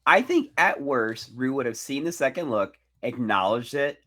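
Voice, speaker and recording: Hoarse man with a baritone voice. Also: animated, moderate pace, sharp tone. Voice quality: deep baritone. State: hoarse from illness. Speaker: podcast co-host. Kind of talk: casual chat. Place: Miami.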